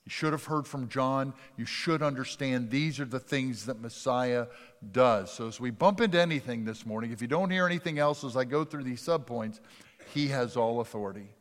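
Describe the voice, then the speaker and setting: Pastor preaching in a reverberant sanctuary. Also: male voice, mid-range voice, normal speed. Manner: conversational preaching that swings from loud to hushed. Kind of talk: sermon. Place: church sanctuary. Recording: long reverb of a church sanctuary.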